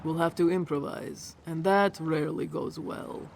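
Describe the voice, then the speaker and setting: A man's voice: a little high, fairly even intonation, unhurried, creaky, a little hiss or hum. A man voicing a blunt, sober, pragmatic profiteer in scripted video game dialogue. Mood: grim, resolute. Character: grim pragmatic profiteer, ready for the worst.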